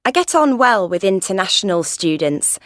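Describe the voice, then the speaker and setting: A woman playing a young student from Derby, who talks in a bright, chatty scripted monologue. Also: mid-range voice, sing-song, normal speed, dry recording, clean studio sound, lightly acted.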